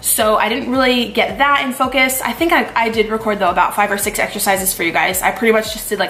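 A young woman talks through her day in a bright, chatty vlog voice, peppered with casual fillers and easy enthusiasm. Her pitch sits right in the middle. Soft music plays faintly underneath. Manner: chatty, upbeat, lots of "like" and "you guys". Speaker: lifestyle vlogger. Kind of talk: casual try-on and workout vlog narration.

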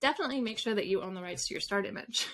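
A woman's voice: medium pitch, rapid-fire, a bit bright, slight background noise. A woman in her thirties chatting casually as an interview guest, explaining things in a rambling way with asides. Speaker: guest author. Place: home room with bookshelves, indoors. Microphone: video call.

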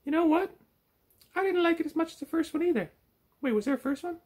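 A man voicing a silly, greedy, self-important furry puppet with a naive, boastful, excitable manner. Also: very high voice, fast talker, pitched above the performer's own voice. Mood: excited, naive. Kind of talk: puppet show banter.

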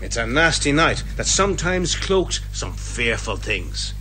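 A British actor playing a folksy, firm police inspector in a radio detective comedy, colloquial and avuncular. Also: male voice, medium pitch, big pitch swings, measured pace, pushed and bright, faint music behind. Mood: solemn.

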